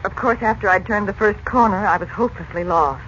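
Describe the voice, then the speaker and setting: An actress playing a weary, genteel mother in an earnest, expressive 1940s radio serial style. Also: medium pitch, a little fast, lightly acted. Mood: recounting. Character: Dorothy, weary genteel mother back from an ordeal. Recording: dull lo-fi radio recording with noise.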